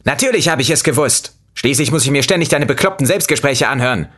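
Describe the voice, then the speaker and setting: A man voicing a furious, insulting female lemon who mocks in a shrill, manic way, as a storybook figure. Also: animated, fast talker, a bit bright. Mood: scornful rant.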